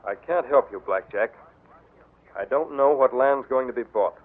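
An actor playing a nervous, defensive clerk hiding a criminal past in a 1940s radio drama. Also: male voice, mid-range voice, lively intonation, normal speed, lightly acted. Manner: tense and defensive. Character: nervous male clerk, former bank robber. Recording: tinny old radio broadcast.

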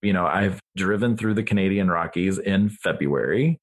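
A man's voice: deep voice, moderate pace. A man from Atlanta, chatting on a podcast and telling stories with an easy laugh. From Atlanta, Georgia, US. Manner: laughs easily, chatty storyteller. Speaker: esthetician and educator, podcast regular. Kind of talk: casual podcast chat.

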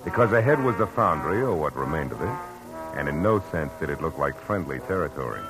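Hardboiled american detective, real low voice, dry wisecracks, old radio show w/ loud music under it. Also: male voice, animated, brisk, low-quality recording. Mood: wry, reflective. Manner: clipped, slangy, wisecracking. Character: wry, hard-boiled male private detective. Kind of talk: 1940s-50s radio detective drama acting and narration.

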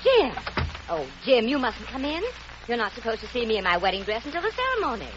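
A shrill haughty society bride. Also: female voice, very animated, fast talker. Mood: indignant. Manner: shrill and imperious. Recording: muffled old radio recording with music.

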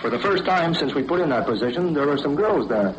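A man's voice: a little high, animated, fast. An American man from Michigan, an army corporal, giving scripted answers in a 1940s radio interview. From Detroit, Michigan, United States. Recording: dull, hissy vintage 1940s radio broadcast.

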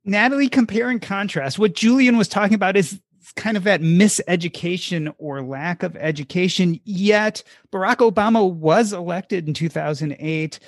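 A man's voice: high voice, normal speed, dry recording, studio quality. A man hosting a panel discussion, putting probing questions to his guests. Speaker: host. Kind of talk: moderating a panel.

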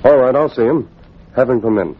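An actor playing a shrewd, composed boss in an old radio drama, reasoning with measured authority. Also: male voice, medium pitch, slight background noise, close to an everyday voice. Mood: thoughtful. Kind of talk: 1940s radio drama acting. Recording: dull old radio recording.

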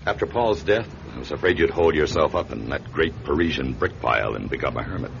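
An actor playing a concerned, steady male friend who gently presses with questions in classic radio drama. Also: a bass voice, brisk, vocal fry, exaggerated voice. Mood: concerned, coaxing. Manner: solicitous, questioning. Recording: dull, noisy old radio recording.

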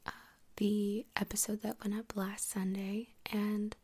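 A woman hosting soft-spoken ASMR in a whispery, breathy voice, rambling gently and unhurriedly close to the microphone. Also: medium pitch, flat delivery, unhurried. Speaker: host of an ASMR podcast. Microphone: close USB microphone.

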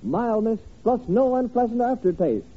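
High, husky male announcer on tinny old radio. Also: lively intonation, moderate pace. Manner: emphatic, formal sales delivery. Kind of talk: 1950s radio announcing and ad reading. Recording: tinny, band-limited old radio broadcast.

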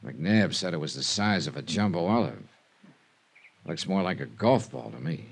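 An actor playing a hard-boiled, wary insurance investigator in a classic radio drama, with an American accent and a wry, clipped, matter-of-fact manner. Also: male voice, low-pitched, sing-song, moderate pace, gravelly, heavily acted. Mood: wary, dry. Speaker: detective narrator and lead. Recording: old radio broadcast recording.

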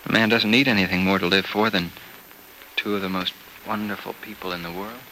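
A man's voice: deep voice, fairly even intonation, a little fast, sharp tone, rough recording. An actor playing a weak, tender young man devoted to his loved ones from a hospital bed, in 1940s radio style. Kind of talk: 1940s radio soap opera acting. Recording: old radio recording with faint noise.

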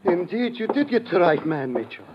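An actor playing a polite foreign police inspector in an old radio drama, speaking in formal, measured, courteous phrases. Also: male voice, high-pitched, sing-song. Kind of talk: 1950s radio drama acting. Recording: dull old radio recording, faint noise.

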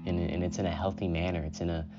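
A man with a very low voice hosts a solo self-care podcast and talks in a calm, unhurried way, often saying "you know". The recording sounds dull and lo-fi. Clear guitar music plays behind him. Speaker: podcast host.

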